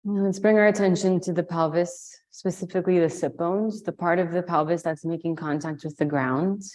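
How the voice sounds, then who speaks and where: A woman leading a guided meditation, giving slow, soothing instructions with long pauses. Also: fairly low pitch, steady tone, darkish tone. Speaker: meditation and yoga teacher.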